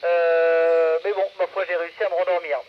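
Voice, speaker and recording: A man casually hosting an amateur radio net, speaking in halting, hesitant phrases into a radio microphone. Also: a little high, flat delivery. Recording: muffled radio audio with background noise.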